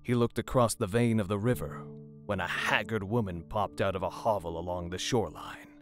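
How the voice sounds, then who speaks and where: Low-pitched man narrating dark fiction. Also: brisk. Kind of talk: dramatic scripted horror narration. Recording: music bed under the narration.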